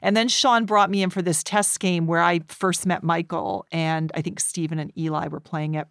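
A woman's voice: on the low side, steady tone, normal speed, fairly bright tone, clean tone, dry recording, clean studio sound. A woman guest chatting casually, telling anecdotes with chuckles along the way. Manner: chuckles while telling stories. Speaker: interview guest and performer.

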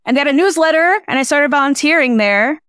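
A young woman filmmaker talking casually in an interview, reflective and halting, with many restarts. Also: high voice, lively intonation, fairly bright tone, no echo, studio quality. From United States, small-town Illinois. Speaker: filmmaker guest.

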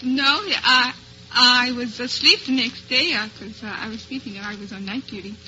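A woman's voice: a little high, fairly even intonation, normal speed, sharp tone, dull audio. A woman from the United States chatting as a contestant on an old radio quiz show, polite and good-humoured. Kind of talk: chatty storytelling in a radio interview. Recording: slightly noisy, band-limited old radio broadcast.

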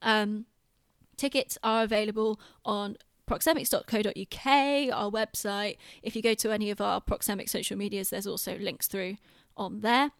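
A woman's voice: medium pitch, fairly even intonation, moderate pace, no room sound, clean studio sound. A British woman chatting casually on a podcast in an informal, self-deprecating way. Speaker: podcast host and theatre director.

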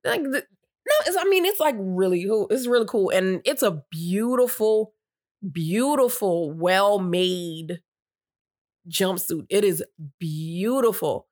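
A woman's voice: medium pitch, takes it slowly, no room sound, studio quality. A woman co-hosting a casual chat, telling a story with a stuffy nose and catching her breath between rambling sentences. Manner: chatty, downplays excitement, rambling with restarts. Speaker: podcast co-host.

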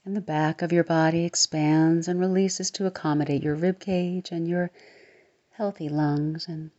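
A woman leads a guided meditation in a low, mellow voice, slow and soothing, her words often dropping into a soft near-whisper. The recording is a little dull and muffled. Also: fairly even intonation.

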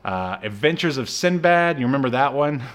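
A man co-hosting a podcast in casual film and TV chat, a native English speaker with a jokey, chuckling, halting delivery. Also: medium pitch, expressive, fairly bright tone. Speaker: podcast co-host.

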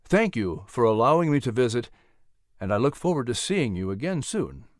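A man voicing a gentle, earnest colonial preacher who speaks in a calm, measured, persuasive way. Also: mid-range voice, no room sound, studio quality, close to an everyday voice.

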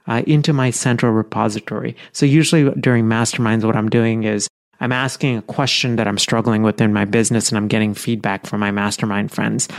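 Earnest man hosting a solo podcast. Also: medium pitch. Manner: earnest, conversational, with frequent fillers and self-corrections. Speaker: podcast host. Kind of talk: solo podcast episode, explaining.

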